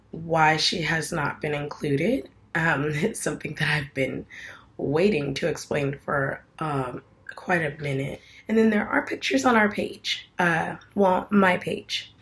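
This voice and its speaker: A woman's voice: sits low, expressive, measured pace, a bit bright. A woman in her twenties talking casually to her viewers in a rambling home vlog, full of fillers and restarts. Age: 20s. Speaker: vlogger.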